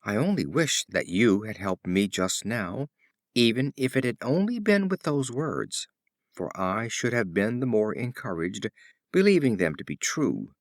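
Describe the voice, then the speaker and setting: A man's voice: on the low side, very animated, measured pace, no room sound, studio quality. Man voicing a scornful brave soldier. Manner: indignant, formal. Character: brave soldier, scornful of his cowardly companion.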